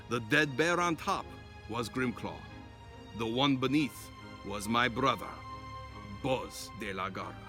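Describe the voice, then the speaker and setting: A man narrating a children's story as an expressive storyteller. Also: a little high.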